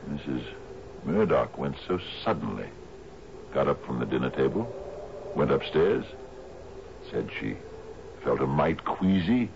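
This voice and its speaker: An actor playing a troubled older sea captain in a 1970s radio drama, with a hesitant, stammering delivery. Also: male voice, extremely low pitch, very animated, measured pace, vocal fry, long pauses, full character voice. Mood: somber, wistful. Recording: muffled, noisy 1970s radio broadcast.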